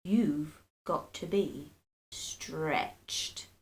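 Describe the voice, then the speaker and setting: Bullying storybook husband, voiced by a woman: spiteful, taunting, slow and emphatic, savoring every threat. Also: low-pitched, pitched below the performer's own voice, exaggerated voice. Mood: grimly gleeful. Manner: taunting, drawn-out mock solemnity.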